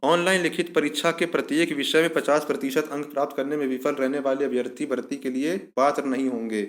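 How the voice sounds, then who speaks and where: A man's voice: mid-range voice, fairly even intonation, fast talker. A man giving an instructional walkthrough of an official notice, repeating key points for emphasis. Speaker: exam information channel host. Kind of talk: explanatory walkthrough of a recruitment notice.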